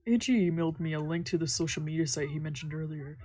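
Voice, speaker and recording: Female let's play streamer, low-set mellow voice, reads story text with casual playful asides. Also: fairly even intonation, quick pace. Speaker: streamer doing a visual novel let's play. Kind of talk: reading game text aloud with casual reactions.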